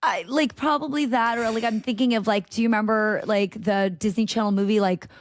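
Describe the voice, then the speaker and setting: Bubbly high-voiced woman in her 30s. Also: a little fast. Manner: animated storytelling with frequent laughter and acted-out quotes. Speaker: guest. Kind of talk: casual interview chat.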